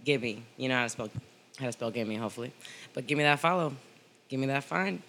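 A woman's voice: rumbling low, animated, a little fast, fairly bright tone, a little hiss or hum. A woman performer chatting in a jokey, informal way as a guest on a podcast. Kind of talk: casual podcast chat.

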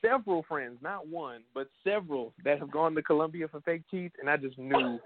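A man's voice: a little high, brisk, crowd noise. A man co-hosting a casual podcast, teasing his co-hosts in playful banter. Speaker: co-host. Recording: tinny phone-line sound.

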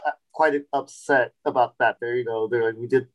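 A man in his forties, a chef and restaurant founder, telling his story in a relaxed podcast interview with many fillers and restarts. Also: medium pitch, normal speed, muffled recording. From Sacramento, California. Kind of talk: casual interview.

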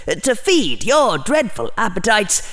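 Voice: medium pitch, huge pitch swings, slow, fairly bright tone, pitched above the performer's own voice, full character voice. A narrator voicing a sly, grimly persuasive inner voice of a boy, with mock-logical, theatrical delivery in a storybook reading. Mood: gloating.

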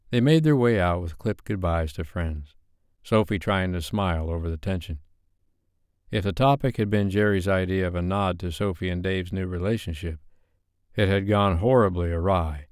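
A man's voice: deep voice, a little mellow, smooth, no echo, studio quality. A man narrating scripted fiction as an audiobook reader.

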